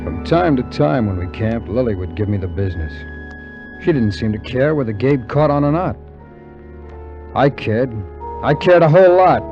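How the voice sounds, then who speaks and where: A man's voice: medium pitch, lively intonation, moderate pace, long pauses, natural voice. An actor playing a cynical, world-weary adventurer who narrates his own tale in a 1950s radio drama, with a wry, dramatic delivery. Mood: brooding. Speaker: narrator and lead character. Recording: muffled vintage 1950s radio recording, music behind.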